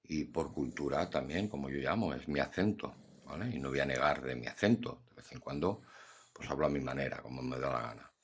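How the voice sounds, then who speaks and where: A man's voice: rumbling low, fairly quick, breathy edge. An older man, talking bluntly and earnestly about acceptance in a casual philosophical monologue. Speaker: podcast host.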